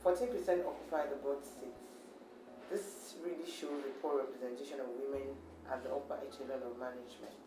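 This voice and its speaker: A male interviewer who earnestly reads out figures before he asks his question. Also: fairly high pitch. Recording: echoey, distant and noisy.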